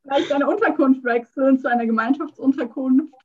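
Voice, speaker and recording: A woman in a casual chat, teasing her friend and quick to laugh. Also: sits high, a little mellow, muffled recording.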